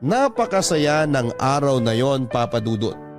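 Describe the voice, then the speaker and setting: A man narrating a listener's love story in scripted, classic-radio style with a calm, storytelling delivery. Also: mid-range voice, a little slow. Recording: music bed under voice.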